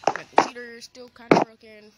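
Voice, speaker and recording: A child improvising a Minecraft roleplay, on a noisy home recording with clicks. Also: fairly quick. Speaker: gamer playing all parts in a roleplay video.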